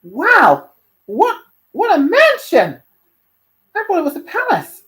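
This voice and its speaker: A woman voicing an excitable young boy in a dramatic, exclaiming story character voice. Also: very high-pitched, normal speed, pitched above the performer's own voice. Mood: amazed. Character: excitable young boy, nephew of a demon prince.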